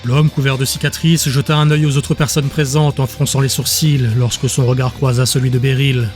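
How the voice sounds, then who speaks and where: A man reads a dramatic fantasy audiobook as narrator. His voice sits at a medium pitch, with music clearly audible behind the scripted storytelling. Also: level tone, fairly quick, darkish tone.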